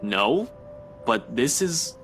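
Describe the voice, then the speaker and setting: A man's voice: medium pitch, big pitch swings, very slow, fairly bright tone, a little hiss or hum, heavily acted. An actor playing a skeptical young man in a visual novel, informal and grumbling in manner. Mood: doubtful, uneasy. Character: skeptical, casual young man, reluctant ex-companion.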